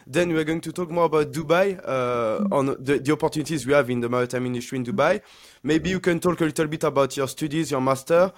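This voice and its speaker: A man's voice: fairly high pitch, fast talker. A man, hosting and interviewing a guest on a podcast. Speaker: podcast host.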